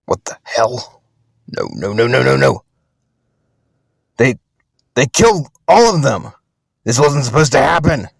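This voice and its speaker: Man acting a raspy, frantic, raging survivor. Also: mid-range voice, big pitch swings, unhurried, long pauses, no echo, clean studio sound, exaggerated voice. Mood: anguished, outraged. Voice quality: raspy, creaky. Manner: ranting, emphatic repetition. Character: frantic, vengeful man raging at ruin of plans.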